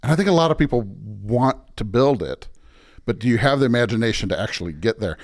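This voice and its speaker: A man's voice: medium pitch, expressive, a little fast. A man talking as a pastor in an interview, earnest and emphatic, often building his points through repeated phrases. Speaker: pastor, podcast guest. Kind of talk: conversational interview talk with preacherly stretches.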